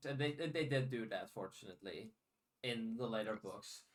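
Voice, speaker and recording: A man's voice: lowish voice, moderate pace, distant mic. A man hosting a podcast in casual conversation, thinking aloud analytically and often restarting his phrases. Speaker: podcast host. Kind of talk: casual chat.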